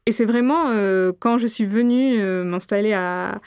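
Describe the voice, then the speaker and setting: Interview guest: young woman from Réunion, Gujarati as her first language, clear voice, measured and halting. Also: mid-range voice, darkish tone, no echo. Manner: thoughtful, frequent hesitations. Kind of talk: interview answers.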